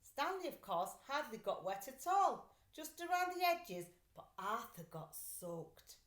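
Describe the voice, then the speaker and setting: Animated woman reading a storybook, roomy sound. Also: sits high, unhurried. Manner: animated, dramatic character voicing in dialogue. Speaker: teacher reading a children's book aloud. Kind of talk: expressive storybook read-aloud.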